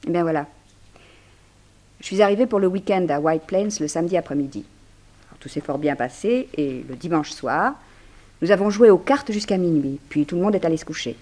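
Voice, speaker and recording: An actress in a 1960s French radio drama playing a poised, curious society journalist who speaks in an articulate, lively way. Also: female voice, lowish voice, brisk. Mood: earnest, narrating.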